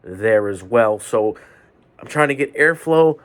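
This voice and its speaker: Slow-talking stuffy-nosed man. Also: mid-range voice, lively intonation. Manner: measured, deliberate delivery. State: stuffy nose. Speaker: podcast host. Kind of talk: solo sports podcast preview. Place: home bedroom with fans running.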